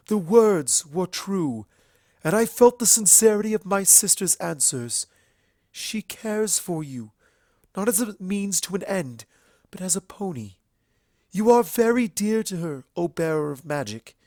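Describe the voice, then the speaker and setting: A man's voice: high-pitched, sing-song, a little mellow, dry recording, studio quality, pitched above the performer's own voice, heavily acted. A man voicing an earnest, regal female pony princess of the night in a story reading, stately and declamatory. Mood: earnest, proclaiming. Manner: stately, declamatory, slow and measured. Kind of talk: dramatic storybook character reading.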